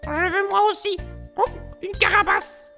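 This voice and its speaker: A man's voice: steady tone, measured pace, gravelly, sharp tone, faint music behind, exaggerated voice. A man voicing a small, restless female frog who whines and grumbles in a storybook cartoon. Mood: delighted. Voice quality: squeaky, whiny. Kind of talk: storybook character voice.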